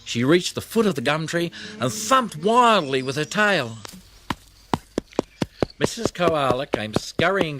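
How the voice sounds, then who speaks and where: A man reading a children's audiobook in an expressive storytelling style, with plucked guitar music behind him. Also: medium pitch, a little hiss or hum. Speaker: audiobook narrator.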